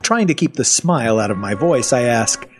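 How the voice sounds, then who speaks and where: A man's voice: mid-range voice, lively intonation, normal speed, lightly acted. A man playing a sly, folksy captive with zombie-like traits, narrating his own tale in an audio fiction story. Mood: sly, secretive. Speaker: narrator and lead character. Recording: music behind the voice. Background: music.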